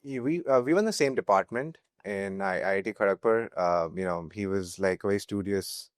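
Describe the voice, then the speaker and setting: A young man with an Indian English accent giving casual, halting, informal answers as an interview guest. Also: lowish voice, no echo, clean studio sound. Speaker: startup founder, interview guest.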